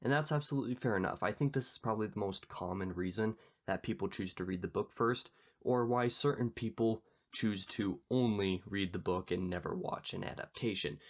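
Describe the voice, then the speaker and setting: Low-voiced man, slightly gravelly. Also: fairly quick, hardly any pauses. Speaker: YouTube book channel host. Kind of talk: casual book discussion to camera.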